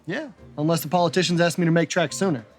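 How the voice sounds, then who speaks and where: A man playing a smooth old-time charmer. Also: fairly high pitch, fast talker, soft background music, natural voice. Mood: breezy. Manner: breezy, old-fashioned patter. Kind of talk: comedic noir skit acting.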